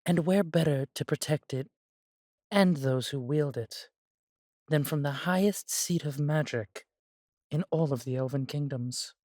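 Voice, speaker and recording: An unhurried man playing an elf brother from a fantasy audio drama, recorded dry and close. He is warm and humble, devoted to his family, and phrases things a little formally with thoughtful pauses. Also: on the high side, no room sound, close to an everyday voice. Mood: warm, reassuring. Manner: measured, slightly formal phrasing with sighs and pauses. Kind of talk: scripted fantasy audio roleplay.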